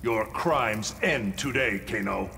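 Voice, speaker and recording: Solemn, righteous guardian. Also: male voice, on the low side, takes it slowly, gravelly. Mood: solemn condemnation. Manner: solemn, condemning. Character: stern, righteous male guardian of the realm. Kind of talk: video game fight banter. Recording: loud game music behind.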